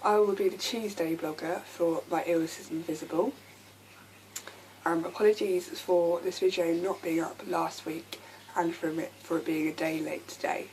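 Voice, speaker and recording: A young woman with a croaky voice from a lasting sore throat, talking candidly to camera with many ums. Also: lowish voice, steady tone, normal speed, a little mellow, a little hiss or hum, poor audio. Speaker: vlogger. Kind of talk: casual talk to camera.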